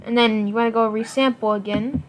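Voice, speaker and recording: A woman giving a casual, unscripted software tutorial in an informal, rambling way, often correcting herself. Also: a little high, steady tone, fairly quick. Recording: noisy home recording with clicks.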